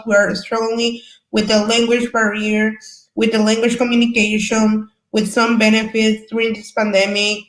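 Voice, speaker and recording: Young woman panelist with a Salvadoran accent, a native Spanish speaker who searches for words as she tells her story; roomy echo. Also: a little high. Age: young adult. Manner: halting, searching for words in English. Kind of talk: personal story in a panel discussion.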